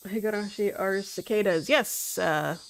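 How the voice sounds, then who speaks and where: A woman's voice: mid-range voice, measured pace, creaky, slight background noise. A woman live streaming, reading a story game aloud and chatting in a playful manner.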